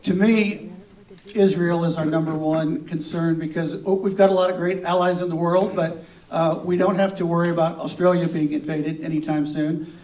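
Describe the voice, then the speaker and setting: A man's voice: on the high side, level tone, moderate pace, darkish tone. A man who is a congressional candidate, answering at a forum in an earnest, personal way. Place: event hall. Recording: dull, echoey hall from far off.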